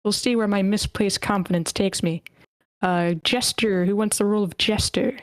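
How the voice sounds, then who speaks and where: A woman's voice: mid-range voice, steady tone, moderate pace, no echo. A woman casually hosting an online script table read, with a playful manner.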